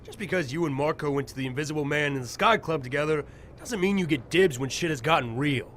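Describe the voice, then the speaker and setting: A man's voice: fairly high pitch, lively intonation, fast talker, a bit bright. A man playing a hot-headed young survivor in an audio drama, snarky and sarcastic. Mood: snide, threatening. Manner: snarky, emphatic. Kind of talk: amateur audio drama acting. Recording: faint background noise.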